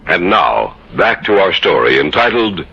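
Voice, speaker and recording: An actor as a portentous announcer of an old-time spooky radio anthology. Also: male voice, deep voice, very animated, measured pace, gravelly, pushed and bright, husky, exaggerated voice. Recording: dull, lightly noisy old radio recording.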